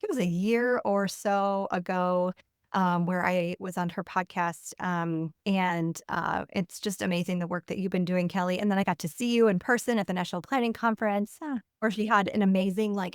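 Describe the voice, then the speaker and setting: A woman's voice: lowish voice, smooth, no echo, clean studio sound. A woman moderating a webinar panel, speaking in a friendly, chatty way with lots of fillers as she introduces the guests. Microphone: video call.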